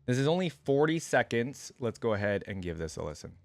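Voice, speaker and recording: A man hosting a reaction video with casual, informal commentary, rambling and thinking out loud. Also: mid-range voice, very animated, a little fast, gravelly, soft background music.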